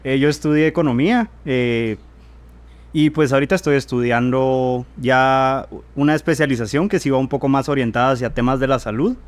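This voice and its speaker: A man from Guatemala with a Guatemalan accent, an economist guest speaking calmly and matter-of-factly. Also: medium pitch, moderate pace. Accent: Guatemalan Spanish. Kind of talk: podcast interview.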